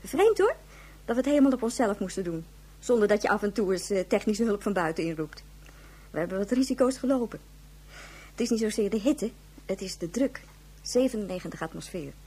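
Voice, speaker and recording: Breezy, husky-voiced station woman. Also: medium pitch, lively intonation, brisk, long pauses, dark tone. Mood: chatty. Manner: breezy, outspoken. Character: brisk, blunt, chatty female station leader. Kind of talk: radio drama acting.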